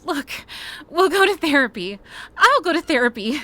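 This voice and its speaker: A woman's voice: sits high, very animated, normal speed, bright, forceful tone, heavily acted. A woman playing a distraught, pleading fiancée in an audio drama. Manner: pleading, anguished.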